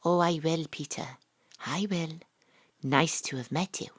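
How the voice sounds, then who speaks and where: A woman's voice: low-pitched, fairly even intonation, measured pace. A woman playing a wry, principled young detective with a Welsh accent, narrating and acting in an audio drama. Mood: friendly, wry.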